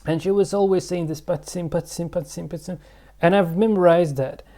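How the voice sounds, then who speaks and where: Gravelly, mellow-voiced man rambling. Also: sits high, normal speed. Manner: rambling, thinking aloud with many fillers. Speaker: channel host. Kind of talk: casual explanatory vlog.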